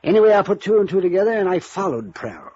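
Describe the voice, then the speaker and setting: A man acting in vintage radio drama as a resigned, genteel elderly uncle, with a halting, mournful, courtly manner. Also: sits high, big pitch swings, darkish tone, slightly rough, heavily acted. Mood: hesitant. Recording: muffled vintage radio recording.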